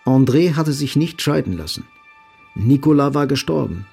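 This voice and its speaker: Husky, creaky male narrator. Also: medium pitch, expressive, normal speed, a little mellow, soft background music. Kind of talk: literary narration in an audio drama.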